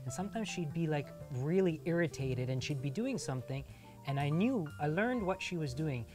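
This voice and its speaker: A man's voice: fairly high pitch, animated, normal speed. A man in his thirties hosting a casual on-camera visit to a workshop, explaining things with enthusiasm and emphasis. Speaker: host. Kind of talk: casual on-camera interview and storytelling. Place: indoor upholstery workshop. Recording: music behind the voice.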